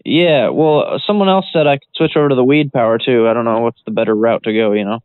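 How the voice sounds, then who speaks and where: A man's voice: medium pitch, quick pace, no echo. A man making prank calls in a casual, rambling, feigned earnest manner. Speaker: prank caller. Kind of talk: casual phone prank chat. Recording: band-limited phone line.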